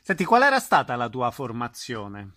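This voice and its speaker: A man's voice: mid-range voice, wildly swooping pitch, normal speed, bright, forceful tone, dry recording, clean studio sound. A man conducting an interview, asking short informal questions.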